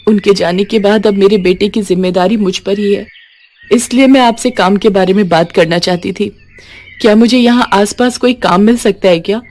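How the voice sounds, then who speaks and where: A woman's voice: mid-range voice, moderate pace, darkish tone, close to an everyday voice. Woman playing a humble, pleading young widow. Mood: sad, pleading. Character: humble, anxious young widowed mother seeking work.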